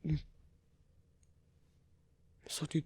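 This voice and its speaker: A performer playing a lonely old male vampire in an ASMR roleplay, tender and wistful, speaking in a breathy, husky whisper with halting delivery. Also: a little mellow, no echo. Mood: hushed, guarded.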